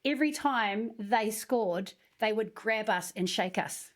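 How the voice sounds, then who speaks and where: A woman from New Zealand, a former rugby player co-hosting a podcast, telling stories in a relaxed, easygoing way. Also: mid-range voice, measured pace. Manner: relaxed, easygoing storytelling with fillers. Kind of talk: casual podcast chat.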